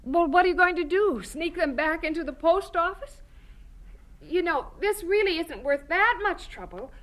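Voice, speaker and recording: An earnest young missionary wife in an audio drama. Her voice is very high, and she comes across as conscientious and sincere. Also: lively intonation, normal speed, fairly bright tone. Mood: sheepish, confessing.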